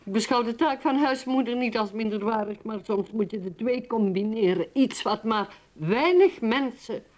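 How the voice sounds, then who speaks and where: A woman's voice: a little high, normal speed, darkish tone, close to an everyday voice. An actress playing a bitter, ambitious middle-aged mother who drinks, in an intense, dramatic radio play style. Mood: defiant, irritated. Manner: intense, dramatic, swinging between speech and whispered brooding. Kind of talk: radio drama acting, dialogue and inner monologue.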